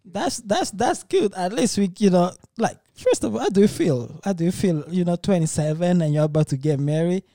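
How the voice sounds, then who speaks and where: High-voiced, mellow host. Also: male voice, animated, brisk, few pauses, no echo. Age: 30s. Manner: laughs often, persuasive. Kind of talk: casual chat.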